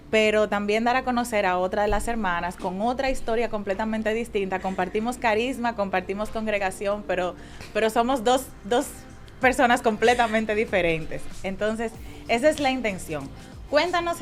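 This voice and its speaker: A woman, a religious sister hosting a podcast in clear presenter and interview talk. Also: medium pitch. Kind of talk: scripted intro and warm interview talk.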